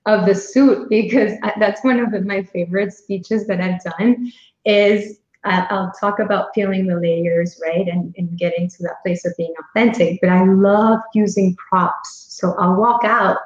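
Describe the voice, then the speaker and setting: A woman's voice: medium pitch, fairly even intonation, measured pace. Woman speaking coach on echoey, dull audio. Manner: warm storytelling, frequent affirming murmurs. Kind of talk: casual interview chat.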